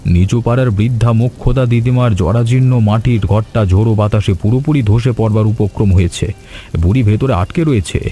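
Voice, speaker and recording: Village elder for animated story, male actor: soft dark tone, grave, caring, measured delivery. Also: on the low side, a little hiss or hum, close to an everyday voice.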